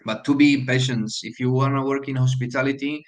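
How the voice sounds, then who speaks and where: A man from Slovakia speaks English with a Slovak accent over a video call, in a mid-pitched voice. He talks in halting runs, full of fillers and restarts, earnest and encouraging. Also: steady tone. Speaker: guest speaker, hotel manager. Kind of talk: online Q&A talk.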